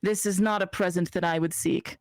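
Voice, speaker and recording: Woman doing proud male host, haughty and curt, low-ish voice, dry close sound. Tabletop role-play. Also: steady tone, brisk, darkish tone, dry recording, lightly acted.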